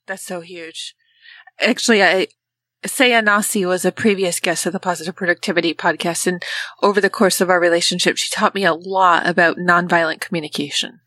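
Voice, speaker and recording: A woman's voice: lowish voice, fairly even intonation, brisk, no room sound. Friendly woman host, conversational, talking straight to her audience, dry close mic. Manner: warm, chatty, addresses listeners directly. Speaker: podcast host. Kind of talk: casual interview hosting.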